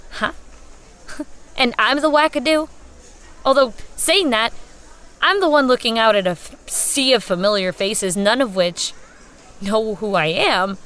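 An actress playing a bewildered, sceptical newcomer to an asylum in an audio drama, exasperated and sarcastic. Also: female voice, high voice, fairly bright tone.

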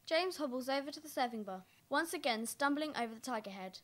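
A woman's voice: sits high, animated, quick pace, a bit bright. A young woman reading scripted stage narration for a radio play. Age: young adult.

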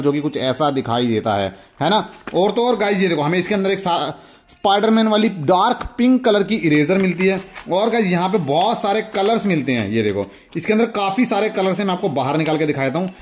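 A man's voice: high-pitched, slight background noise. A man with an Indian accent hosting a casual hands-on toy demonstration, chatty and repetitive, talking straight to the viewers. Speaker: toy review video host.

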